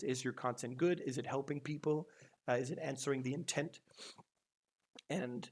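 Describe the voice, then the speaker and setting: A man hosting a casual news roundup on his channel, talking loosely, with many ums and false starts. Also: mid-range voice. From Denmark. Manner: loose and rambling, lots of ums and restarts. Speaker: SEO news channel host.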